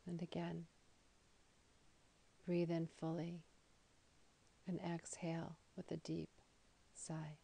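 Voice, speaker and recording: Low, creaky woman guiding meditation. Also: monotone, low-quality recording. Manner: soothing, slow and deliberate with soft, breathy phrase endings. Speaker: yoga nidra guide. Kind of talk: guided meditation.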